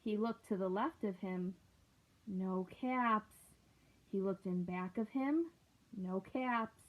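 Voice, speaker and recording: A woman reading a picture book aloud to children, gently asking them questions along the way. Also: medium pitch, slow pace. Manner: gentle, asks listeners questions. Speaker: read-aloud storyteller. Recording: tinny lo-fi recording.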